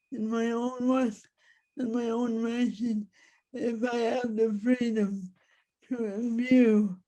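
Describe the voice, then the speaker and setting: A woman with an Irish accent, reflecting in an interview in deliberate, halting short phrases. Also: a little high, dark tone, low-quality recording. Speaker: playwright, interview guest.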